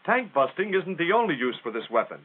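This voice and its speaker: A man's voice: on the high side, sing-song, a little fast. Tinny 1940s American male training-film narrator. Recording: tinny, band-limited 1940s film soundtrack.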